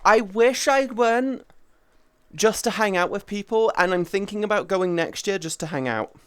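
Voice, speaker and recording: A man's voice: sits high, moderate pace, a bit bright, smooth, dry recording. A man in his thirties streaming, rambling in casual chat with his viewers, swearing freely and greeting chatters by name. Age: 30s.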